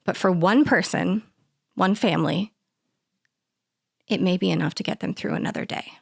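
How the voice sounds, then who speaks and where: A woman's voice: on the low side, normal speed, vocal fry, breathy edge, dry recording. A woman from the United States hosting a video essay, narrating a recipe with dry, sarcastic humor and casual swearing. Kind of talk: casual voice-over narration over cooking footage.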